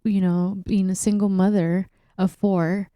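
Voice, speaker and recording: Smooth, mellow-voiced woman podcaster. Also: medium pitch, a little slow, no echo. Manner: relaxed, chatty, many fillers. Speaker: podcast co-host. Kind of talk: casual podcast chat.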